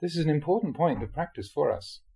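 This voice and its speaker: A man reading a spiritual talk aloud in a measured, explanatory way, often posing rhetorical questions. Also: a little high, lively intonation, gravelly, mellow, slightly rough. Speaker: monk reading dharma talks aloud.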